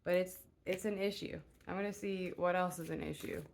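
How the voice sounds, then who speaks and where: A woman with a low, soft voice talks her way through a tarot reading in a relaxed, unhurried way. She meanders and fills her pauses with little hesitations. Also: vocal fry, slight background noise. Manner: rambling and meandering, many filler "uh"s. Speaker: tarot reader. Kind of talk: casual spoken tarot reading.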